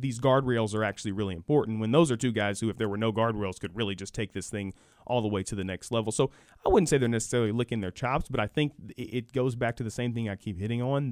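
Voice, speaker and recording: A man's voice: fairly low pitch, a little mellow, clear, resonant tone, dry recording, clean studio sound. An American man explaining college sports conversationally as a guest expert in a radio interview, emphatic and fond of hypothetical examples.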